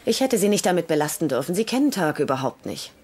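A woman's voice: fairly low pitch, big pitch swings, fast, darkish tone, breathy edge. An actress playing a proud, guarded woman with a dry, teasing wit in a German-dubbed TV comedy-drama.

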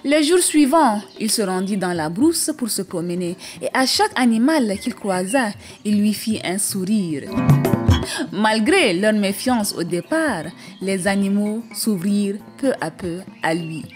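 A woman storyteller reading a scripted African folktale for an animated story. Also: mid-range voice, a little slow. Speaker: storyteller, narrator. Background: music.

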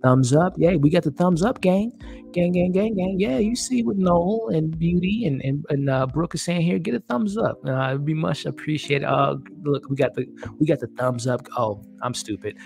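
A man's voice: fairly high pitch, normal speed, creaky, a little mellow, soft background music. A man in his thirties hosting a casual live stream, chatty and upbeat, laughing often and thanking viewers, close to a desk mic in a small room. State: losing his voice. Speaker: livestream host. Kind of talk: casual live-stream chat reading comments. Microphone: studio mic on desk, close.

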